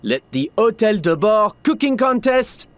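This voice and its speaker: A man's voice: high voice, very animated, measured pace, no room sound. A man voicing a formal, theatrical contest announcer in a video game, speaking with ceremonious showmanship.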